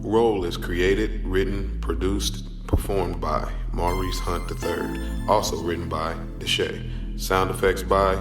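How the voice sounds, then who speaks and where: A man's voice: low voice, fairly even intonation, slow. A man reading scripted closing credits as an announcer. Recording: echoey voice under loud music. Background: loud music.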